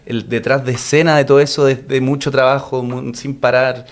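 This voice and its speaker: A man with a Chilean accent interviewing a guest, chatty and friendly, with many fillers and quick affirmations. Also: mid-range voice, flat delivery. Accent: Chilean Spanish. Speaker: interviewer host. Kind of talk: casual interview conversation.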